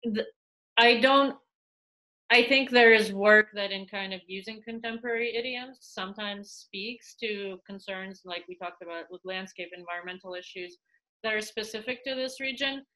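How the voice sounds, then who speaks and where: A woman's voice: medium pitch, moderate pace, poor audio. A woman in her thirties from Russia, a museum curator talking on a video call with lots of fillers and restarts. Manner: animated, gestures while talking, many fillers and restarts. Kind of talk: casual video-call interview. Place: small room at home. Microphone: laptop webcam, video call.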